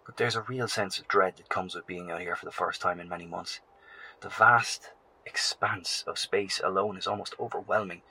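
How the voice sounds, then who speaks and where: An actor playing a weary professor and bunker survivor, making grim, measured radio broadcasts in a horror audio drama. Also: male voice, low voice, steady tone, breathy edge, exaggerated voice, poor audio. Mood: uneasy, weary. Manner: measured, grave delivery. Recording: loud music under the voice.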